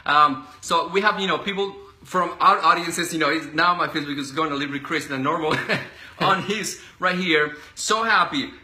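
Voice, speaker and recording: A man's voice: a little high, normal speed, background noise. A man from Colombia hosting a casual interview, encouraging his guest and echoing his words back. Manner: encouraging, echoes and affirms the guest. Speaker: interview host. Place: small indoor office room. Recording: echoey small room.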